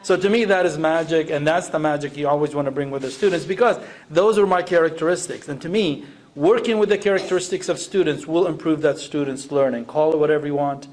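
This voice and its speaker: Male panelist from Iraq, slightly high voice, emphatic, rhetorical, unscripted educator talk. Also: fast, a little mellow. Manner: emphatic, repeats phrases and poses rhetorical questions. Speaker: education panelist, former science teacher. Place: live panel event.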